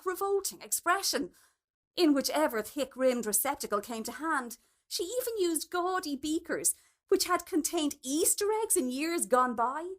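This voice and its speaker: High, expressive woman reading a story. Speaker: story reader. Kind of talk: expressive literary short story narration.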